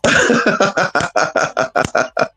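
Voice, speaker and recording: A woman's voice: very slow, a little hiss or hum. A woman chatting casually on a livestream, sassy and profane, calling her viewers honey and girl. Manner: animated, talks straight to viewers.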